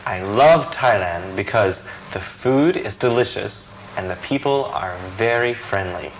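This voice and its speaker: A man's voice: fairly low pitch, expressive, measured pace, a little hiss or hum, rough recording. A man reading the answering part in a scripted practice dialogue, matter-of-fact with a joking touch. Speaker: dialogue reader answering questions.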